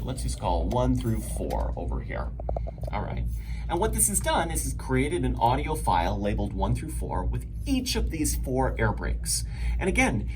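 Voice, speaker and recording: A man presenting a step-by-step software tutorial in a methodical, explanatory manner. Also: medium pitch. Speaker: instructor, presenter. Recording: clear background noise, room ambience.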